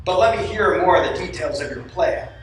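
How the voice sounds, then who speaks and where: A distant, very echoey recording of a commanding alien tyrant king, played by a man in a cartoon radio play. He speaks with measured, imperious authority. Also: high-pitched, fairly bright tone, faint music behind. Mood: calculating. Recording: echoey live hall, far from mic.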